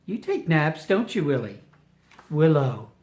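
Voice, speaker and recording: Slow, echoey older storybook reader. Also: mid-range voice, very animated, darkish tone. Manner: affectionate, playful, emphatic reading. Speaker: family member reading to a baby. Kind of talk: storybook read-aloud with chatty asides to a baby. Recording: roomy home recording with echo.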